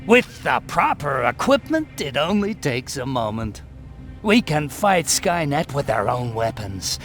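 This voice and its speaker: A man's voice: mid-range voice, sing-song, measured pace, a bit bright, faint music behind. A man voicing a confident resistance tech expert in a video game. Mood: assured. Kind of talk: acted video game dialogue.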